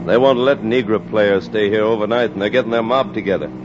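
An actor playing a worried, protective baseball coach, grave and terse, in a 1940s radio drama. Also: male voice, on the low side, fast, background noise, natural voice. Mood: worried, urgent. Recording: dull 1940s radio recording.

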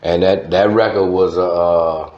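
A man's voice: sits low, steady tone, a little slow. A middle-aged man with a Louisiana accent chatting casually as an interview guest, slangy and repeating his words, in a dull, roomy studio recording. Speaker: guest, veteran radio DJ.

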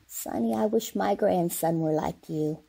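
Creaky, husky feisty old granny played by a woman. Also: on the low side, a little slow, mellow, exaggerated voice. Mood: grumbling. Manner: blunt, folksy. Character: feisty ninety-six-year-old great-grandmother, wealthy and mischievous. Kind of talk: old-time radio comedy acting.

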